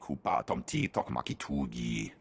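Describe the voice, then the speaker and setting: A man voicing a rough-voiced alien speaking an invented language in a video game. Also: a bass voice, huge pitch swings, normal speed, heavily acted. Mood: matter-of-fact. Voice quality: husky, rough.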